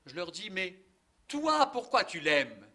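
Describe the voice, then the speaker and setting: An older man giving a conference lecture, animated, ironic and polemical, with many asides and fillers. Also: high voice, takes it slowly. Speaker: conference speaker, linguist. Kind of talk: lively lecture to an audience. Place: conference hall.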